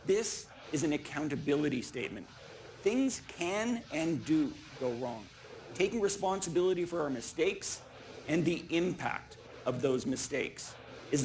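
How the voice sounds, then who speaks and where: A middle-aged man gives a polished keynote in a slightly high voice. He speaks deliberately, in short emphatic statements, into a lapel mic on a large conference stage, with faint noise behind him. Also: a little mellow. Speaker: chief executive giving a keynote. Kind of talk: rehearsed keynote speech. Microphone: small clip-on lapel mic.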